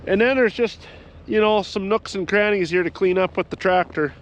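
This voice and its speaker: Congested farmer talking over engine hum. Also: male voice, sits high, moderate pace, muffled recording. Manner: plainspoken, rambling, explains farm chores. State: congested from a head cold. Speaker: farmer vlogger. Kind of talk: casual vlog narration while working. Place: outdoors and in a tractor cab on a farm.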